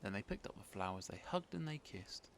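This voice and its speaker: A man narrating a children's storybook in an expressive read-aloud style, with faint noise behind. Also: fairly low pitch, a little fast, creaky.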